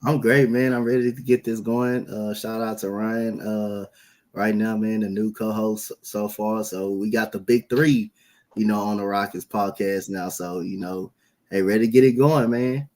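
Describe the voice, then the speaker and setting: Laid-back low-voiced podcast host. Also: male voice, moderate pace. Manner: relaxed, laid-back, rambling opinions. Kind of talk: casual sports podcast chat.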